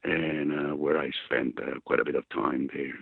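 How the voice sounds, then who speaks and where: A man's voice: a bass voice, steady tone, moderate pace, vocal fry, breathy edge, dry recording. A man from Argentina with an Argentine Spanish accent, calling in to a live show and speaking hesitantly with many fillers. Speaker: caller. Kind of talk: phone call to a live show. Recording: phone line.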